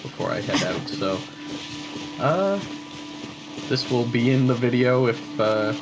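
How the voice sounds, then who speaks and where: A young man giving casual, halting, informal let's-play commentary as a gaming video host. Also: medium pitch, lively intonation, rough recording. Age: young adult. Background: game music.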